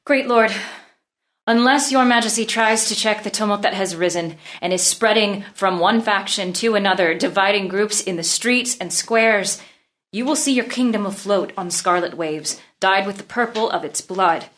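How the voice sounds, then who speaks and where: A woman's voice: mid-range voice, normal speed, a bit bright, natural voice. An actress playing a grave noblewoman who declaims formally in a classical verse drama reading. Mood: urgent, foreboding. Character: grave noblewoman bearing dire news to her king. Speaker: actor. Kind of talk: unrehearsed table read of classical verse drama.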